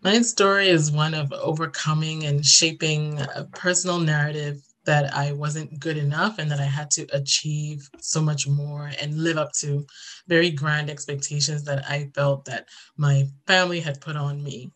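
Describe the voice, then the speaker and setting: A woman's voice: deep voice, fairly even intonation, moderate pace, a bit bright. A woman, telling her personal story earnestly as an interview guest. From Ghana. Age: 30s.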